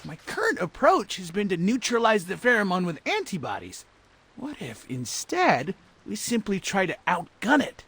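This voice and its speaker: A man voicing an excitable, fussy scientist in a cartoon game, expressive and wordy, with a husky, creaky voice. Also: high voice, normal speed, exaggerated voice. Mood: scheming, eager. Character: excitable, fussy scientist, eager and easily appalled. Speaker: voice actor in a game cutscene. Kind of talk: animated cartoon game acting.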